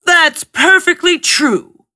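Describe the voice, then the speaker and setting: A woman's voice: very high-pitched, lively intonation, takes it slowly, pushed and bright, pitched above the performer's own voice, exaggerated voice. A woman voicing a huge, cruel, boastful ogre in a storybook tale. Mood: haughty. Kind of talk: storybook villain voice.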